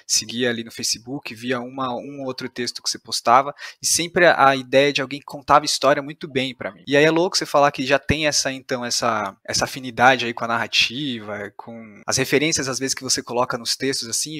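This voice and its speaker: A man's voice: medium pitch, bright, forceful tone, no room sound, studio quality. A Brazilian man hosting an interview in a casual, conversational way. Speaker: podcast host, interviewer.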